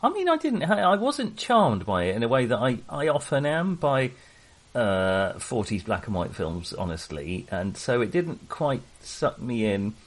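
A man's voice: mid-range voice, sing-song, measured pace. A man hosting a casual film discussion, speaking hesitantly and often correcting himself as he digresses. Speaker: podcast host.

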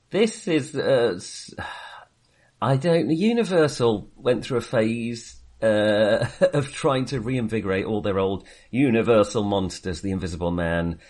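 A man co-hosting a casual film discussion, talking in a hesitant, halting way and circling back on his phrases. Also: medium pitch, a little mellow. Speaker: podcast co-host reviewing films.

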